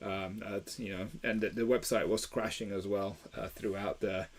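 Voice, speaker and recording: A man's voice: fairly low pitch, fairly even intonation, normal speed. A man from Belgium hosting a live stream about toy deals, talking casually with plenty of fillers and restarts. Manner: chatty, many fillers and restarts.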